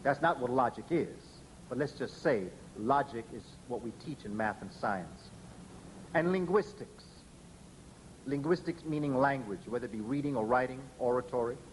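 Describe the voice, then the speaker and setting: A man giving a public lecture in a measured, emphatic way, often spelling out letters slowly for emphasis. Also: medium pitch, slight background noise, rough recording.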